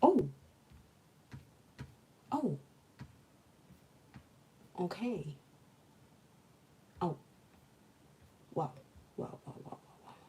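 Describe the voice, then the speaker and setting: A woman's voice: lowish voice, big pitch swings, extremely slow, long pauses, warm tone, slight background noise. A young woman from Nigeria with a Nigerian accent, a law student murmuring her notes aloud and chatting with viewers on a casual study livestream. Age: young adult.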